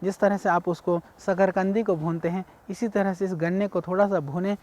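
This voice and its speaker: A man from India giving a measured, explanatory health talk on ayurveda, speaking directly to viewers. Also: high voice, fairly even intonation, darkish tone. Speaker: ayurveda teacher.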